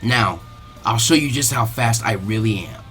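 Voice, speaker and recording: Guy doing a quiet polite ninja girl, low and hushed for him, formal, resolute, music under it. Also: lightly acted. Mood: reluctant menace. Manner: soft-spoken, measured, formal. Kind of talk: character voice in story reading. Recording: music behind the voice.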